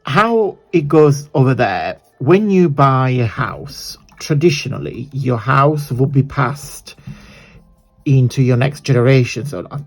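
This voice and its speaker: A man with an Italian accent talks his viewers through a messy craft project in a loose, chatty way. His voice is croaky, and he often wanders off and corrects himself. His pitch sits in an ordinary middle range. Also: expressive, a little slow. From southern Italy. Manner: rambling, chatty, self-correcting. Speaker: craft tutorial host.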